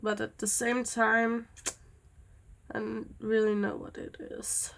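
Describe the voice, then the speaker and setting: Young woman, slow unhurried pace, rambling casual vlog chat, easily sidetracked. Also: on the high side, completely flat delivery, creaky, a bit bright, slight background noise. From Denmark. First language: Danish. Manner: rambling, easily distracted, with many fillers. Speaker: vlogger. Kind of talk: casual chat while doing nails.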